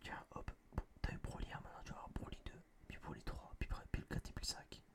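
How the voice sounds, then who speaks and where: A young man whispering a casual, slangy, rambling review in breathy ASMR style, close to a binaural microphone. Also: fast talker. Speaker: ASMR video creator.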